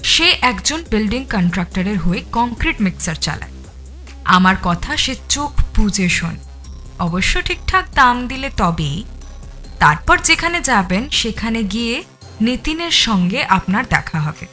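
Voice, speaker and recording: An actress playing a cold, scheming murderous wife in an audio thriller, composed, menacing and contemptuous. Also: female voice, fairly high pitch, sing-song, unhurried, pushed and bright, faint music behind, full character voice. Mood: coldly contemptuous. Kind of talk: dramatised audio thriller acting.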